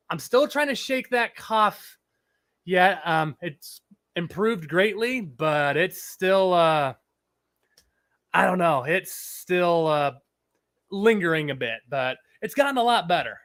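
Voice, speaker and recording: A man with a fairly high voice hosts a relaxed live stream, his throat a touch scratchy from a cold. He rambles easily and stops to greet viewers from the chat. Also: unhurried, pauses often. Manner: chatty, rambling, reads chat aloud. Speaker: livestream host.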